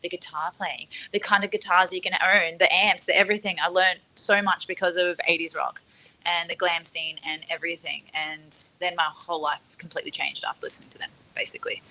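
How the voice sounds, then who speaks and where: A woman with a slightly low but bright, forward voice. She talks casually as a guest on a livestream panel, effusive and rambling as she shares her memories and enthusiasms. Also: fairly even intonation, a little fast, rough recording. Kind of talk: casual chat, reminiscing.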